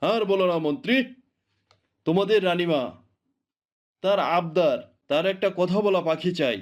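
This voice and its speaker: A devoted king from a cartoon, acted by a man, with a high-pitched male voice. He is regal yet coaxing and reassuring, an indulgent husband by temper. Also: normal speed, dull audio, natural voice. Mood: commanding. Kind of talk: cartoon voice acting.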